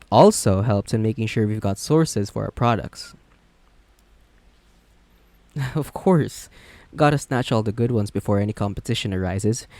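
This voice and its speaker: A man's voice: on the low side, darkish tone, no room sound. A man playing a smug, flirty businessman supervillain, chatty and sardonic, in a romantic roleplay audio drama. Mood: playful, chatty. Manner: chatty, sardonic, self-assured.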